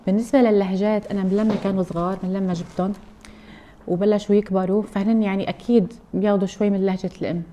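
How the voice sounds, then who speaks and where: A woman's voice: medium pitch, fairly even intonation, racing, warm tone, slight background noise. A woman from Aleppo with a Syrian accent, a mother chatting casually and explaining things at length to her viewers. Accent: Aleppo Syrian Arabic. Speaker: mother and cooking vlogger.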